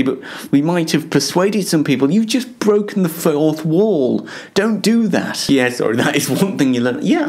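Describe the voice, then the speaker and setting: Animated, self-deprecating young man. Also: medium pitch. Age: young adult. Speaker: host. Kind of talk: casual self-interview and chat to viewers.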